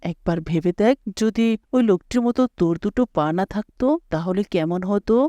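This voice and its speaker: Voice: medium pitch, fairly quick, warm tone, no room sound, highs cut off, natural voice. An actor voicing a poor, caring young brother in a moral cartoon, earnest and consoling in manner. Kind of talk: Islamic moral cartoon dialogue.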